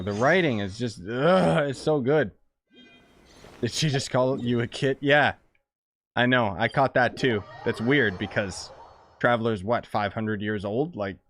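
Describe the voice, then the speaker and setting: Slow-paced, sarcastic game streamer. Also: medium pitch, a little hiss or hum. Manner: sarcastic, interjecting. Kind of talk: casual reaction commentary over gameplay.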